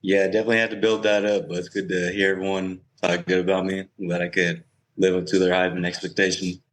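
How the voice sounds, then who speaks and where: Young man, deep voice, flat delivery, casual interview guest. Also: quick pace, low-quality recording. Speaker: guest, professional cornhole player.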